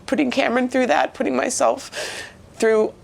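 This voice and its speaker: A woman's voice: sits high, steady tone, moderate pace, gravelly. A woman in her forties from Maine with an American accent, giving a reflective, earnest personal account in an interview. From Maine, United States. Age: 40s.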